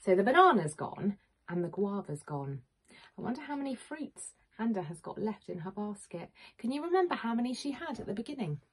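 A woman, a teacher reading a picture book to young children in an expressive, questioning manner. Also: mid-range voice, fairly quick, slight background noise, poor audio. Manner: expressive, questioning, clearly addressing small children. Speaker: primary school teacher. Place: small home room with bookshelves, indoors.

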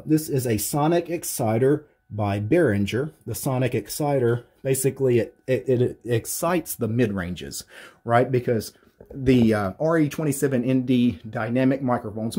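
A man in his thirties with a deep voice, casually walking through his home studio gear, rambling with fillers and restarts. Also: dark tone, husky. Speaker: tech YouTuber. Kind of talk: casual equipment walkthrough. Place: small home studio with acoustic foam panels.

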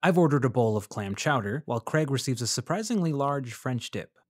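A man playing a measured, curious journalist narrator. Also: medium pitch, expressive, dry recording, clean studio sound, close to an everyday voice. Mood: measured narration. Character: male freelance journalist narrating his visit, curious, polite. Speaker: narrator and interviewer. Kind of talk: scripted first-person audio drama narration.

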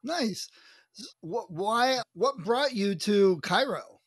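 A man's voice: high-pitched, expressive, takes it slowly. A man, hosting a podcast interview in a casual, conversational style. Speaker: podcast host.

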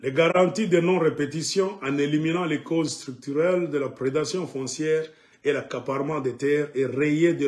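A middle-aged man, delivering an impassioned, emphatic political monologue to camera at home. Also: on the high side. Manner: emphatic, animated, sweeping arm gestures. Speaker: political commentator. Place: living room at home, indoors.

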